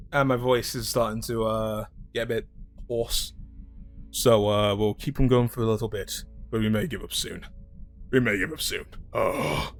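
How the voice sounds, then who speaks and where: A man streaming a video game from home, chatting casually in a rambling, hesitant way, candid and blunt with casual swearing. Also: mid-range voice. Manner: rambling, hesitant, full of fillers and restarts. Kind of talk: casual chat while playing a video game.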